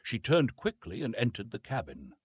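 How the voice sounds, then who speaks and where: Expressive male storyteller, lively pitch, slightly husky. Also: normal speed, dry recording. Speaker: audiobook narrator. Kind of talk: scripted narration of an adventure novel.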